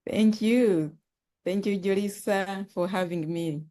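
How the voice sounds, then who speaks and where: A woman from Uganda, telling her life story as a podcast guest, earnest and halting, often repeating words. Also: mid-range voice, mellow. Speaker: guest, author and nonprofit founder.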